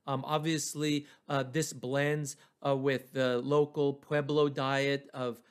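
A man's voice: medium pitch, flat delivery, slow pace, smooth. A man from New Mexico, giving an informal, explanatory history lecture. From New Mexico, United States.

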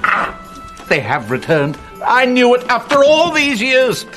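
A man's voice: very high-pitched, huge pitch swings, measured pace, exaggerated voice. An actor playing an older, befuddled but kindly king in a comic video game, with a muddled, pompous delivery. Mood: pompous, musing. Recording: loud music under the voice.

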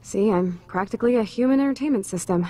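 A woman voicing a wry, teasing punk teenage girl in a video game, with a sardonic, husky edge. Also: mid-range voice, quick pace, dark tone, natural voice.

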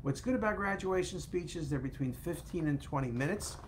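An older man hosting a casual live talk from his home office over a webcam, chatty and animated, often correcting himself mid-sentence. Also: fairly high pitch, normal speed, a little hiss or hum. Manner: chatty, self-correcting, animated with hand gestures. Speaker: host. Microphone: webcam on a video call.

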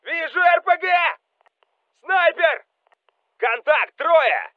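An actor playing a Russian special forces soldier calling out commanding military game lines over a radio. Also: male voice, extremely high pitch, big pitch swings, extremely slow, dry recording, narrow, tinny audio, heavily acted. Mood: urgent shouting. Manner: urgent, commanding.

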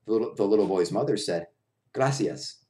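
A man's voice: on the low side, expressive, normal speed, dark tone. A man in his thirties reading a children's story aloud in a small room at home. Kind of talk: children's picture-book read-aloud. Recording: roomy echo of a bare room.

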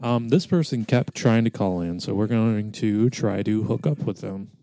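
A man's voice: fairly low pitch, moderate pace, mellow. A man hosting a podcast, chatting casually and making fun of himself. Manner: self-deprecating, sighing, joking. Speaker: podcast host. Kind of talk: casual chat.

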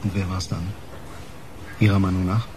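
An actor playing a gruff, cynical, brusque homicide detective in a naturalistic German radio crime drama. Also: male voice, low-pitched, flat delivery, moderate pace, darkish tone, slight background noise, natural voice. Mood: sardonic, probing. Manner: brusque, sardonic, impatient.

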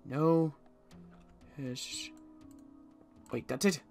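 A man's voice: a little high, extremely slow, vocal fry, a little mellow, soft background music, voice pushed up, heavily acted. A man playing a suspicious, agitated apocalypse survivor in improvised game roleplay, muttering to himself with stammers and repeated words. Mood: pondering, muttering.

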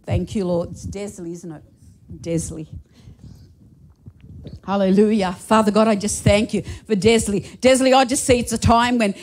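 A middle-aged woman delivering prophetic words over church members in a small church hall. She holds a handheld microphone and speaks fervently, with many repetitions, and at times rises to shouts of praise. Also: a little high. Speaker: prophetic minister. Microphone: handheld mic.

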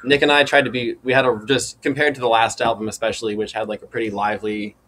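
A man's voice: medium pitch, flat delivery, fast talker, a bit bright, slight background noise. A man, a band member, talking casually as an interview guest.

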